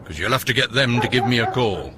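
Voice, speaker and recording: Radio drama: male actor as impatient, dismissive caretaker, mid-pitched, over a busy background. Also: lively intonation, quick pace, crowd noise, close to an everyday voice. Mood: gruff, dismissive. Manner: curt, dismissive. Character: gruff, impatient male caretaker guarding the hospital gate.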